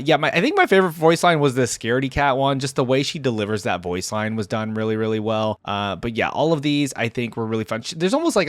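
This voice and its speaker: A man's voice: mid-range voice, no echo, clean studio sound. A man hosting a reaction video, giving casual commentary on what he hears. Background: game music and voice clips.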